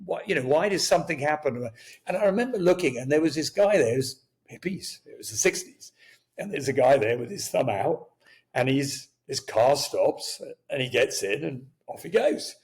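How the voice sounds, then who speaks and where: An older man raised in Kenya whose first language was Swahili, telling lively stories as an interview guest, chuckling and restarting sentences. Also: a little high, moderate pace. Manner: animated, chuckling, many restarts. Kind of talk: storytelling interview talk.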